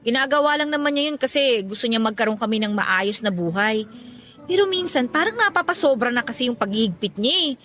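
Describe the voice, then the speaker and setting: A woman's voice: fairly high pitch, animated, a little fast, fairly bright tone. A woman playing a troubled, indignant young working woman in a Tagalog radio drama, talking in an emotional, aggrieved way. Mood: guilty, conflicted.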